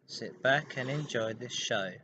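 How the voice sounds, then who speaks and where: A man's voice: medium pitch, unhurried, pushed and bright, slight background noise. A man hosting a hobby podcast, narrating history in an informal, scripted way. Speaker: podcast host. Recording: dull lo-fi home recording.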